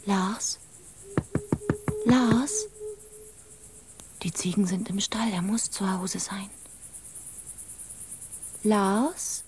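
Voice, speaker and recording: A woman's voice: medium pitch, animated, very slow, pauses often, a little mellow, soft background music. A woman playing a tender, worried fisherman's wife in a radio play, motherly and pleading. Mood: urgent, pleading. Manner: pleading, motherly, breathless urgency when alarmed. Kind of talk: German radio drama acting.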